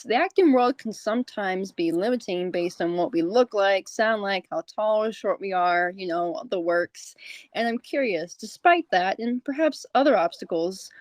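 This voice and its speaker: A woman's voice: mid-range voice, darkish tone, no echo. Woman podcast host with a dry, close sound. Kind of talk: conversational interview hosting.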